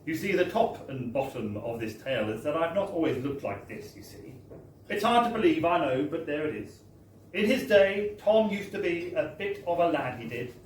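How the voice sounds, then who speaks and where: A man's voice: on the high side, big pitch swings, moderate pace. Theatrical man reading aloud in a roomy venue. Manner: theatrical, wry, with emphatic tag phrases. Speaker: reader of a story at a book launch. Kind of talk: dramatic live reading of a comic first-person story. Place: bar, live event. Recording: roomy, distant live recording with background noise.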